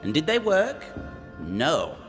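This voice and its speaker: A man voicing the flirtatious, deluded ghost of a sickly, lovesick gentleman in a theatrical, singsong video game performance. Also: a little high, huge pitch swings, takes it slowly, exaggerated voice. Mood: defensive, dismissive. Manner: theatrical, singsong, repeating phrases. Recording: long echoing reverb, music underneath.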